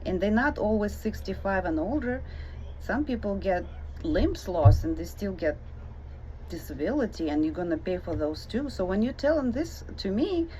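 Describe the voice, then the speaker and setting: A woman's voice: medium pitch, animated, moderate pace, mellow. Indignant woman with a Ukrainian accent. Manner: emphatic and indignant, addresses the viewer directly. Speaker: vlogger answering a comment. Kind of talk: direct-to-camera rebuttal. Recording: dull lo-fi audio with faint noise.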